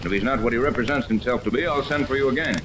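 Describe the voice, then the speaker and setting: A man's voice: medium pitch, racing, close to an everyday voice. A man playing a suspicious, commanding captain with a brusque, wary manner in a 1930s radio serial. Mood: authoritative. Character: suspicious, commanding male captain, guardian of a wealthy woman. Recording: noisy 1930s radio recording, rain behind.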